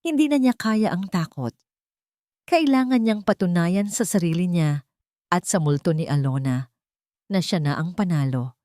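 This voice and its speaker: A woman reads a dramatic story as an audiobook narrator. Her voice sits slightly low and is very mellow, recorded dry and close. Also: expressive, normal speed, long pauses, no echo.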